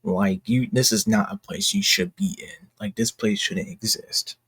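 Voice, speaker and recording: A man giving casual behind-the-scenes commentary as an indie creator, talking in a halting, rambling, enthusiastic way. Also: on the low side, sing-song, a bit bright, husky, no echo. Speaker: indie comic creator and video host.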